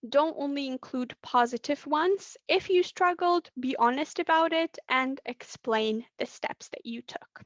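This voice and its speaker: A woman giving an online training session, losing her voice, speaking deliberately and drawing out key words. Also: sits high, no echo, dull audio. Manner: deliberate, drawn-out letter by letter. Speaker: webinar presenter. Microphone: computer mic on a video call.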